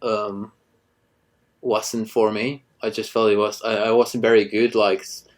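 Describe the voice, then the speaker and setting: A man in his thirties from Ecuador, a cinematographer chatting casually in an interview, halting with many restarts and fillers. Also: on the low side, little pitch movement. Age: 30s. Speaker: cinematographer guest.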